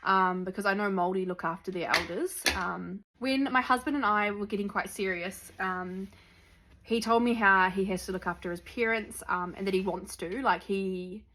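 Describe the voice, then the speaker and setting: Young kiwi woman yakking to camera, mid pitch, lots of um and like, bit of faint hiss behind. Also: moderate pace, sharp tone, poor audio. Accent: New Zealand. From New Zealand. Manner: chatty, lots of ums and likes. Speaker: vlogger. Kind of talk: sit-down chat to camera.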